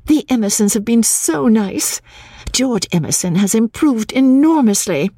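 An eager young Edwardian woman, as a female audiobook reader acts her out. She speaks earnestly and emphatically, pitched up high with lively swoops. She sounds impulsive and full of joy. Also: unhurried, creaky, breathy edge, no echo, studio quality, distant mic, full character voice. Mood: bright, warm.

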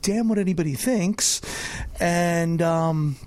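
A man's voice: a little high, slow, darkish tone. A man whose first language was Italian, a guest filmmaker in a radio studio, talking hesitantly with lots of fillers. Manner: hesitant, apologetic, many fillers. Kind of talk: casual interview chat.

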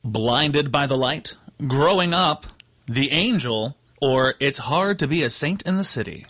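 A man's voice: mid-range voice, expressive, a little slow, a bit bright. A man co-hosting a radio show, reading a trivia question playfully. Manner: playful, reads trivia with a mock sexy voice. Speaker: radio co-host.

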